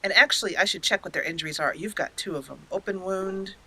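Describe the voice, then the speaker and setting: A woman hosting a gaming channel, giving expressive roleplay commentary and storytelling while talking to the game characters. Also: medium pitch, fast talker, husky, low-quality recording.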